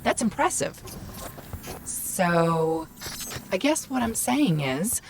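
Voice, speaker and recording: A woman voicing a witty, eager AI ghost companion in a video game, with a wry, expressive, conversational delivery. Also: mid-range voice, takes it slowly, creaky, slight background noise, full character voice, poor audio. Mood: thoughtful, wry. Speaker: guide companion. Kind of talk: video game dramatic dialogue.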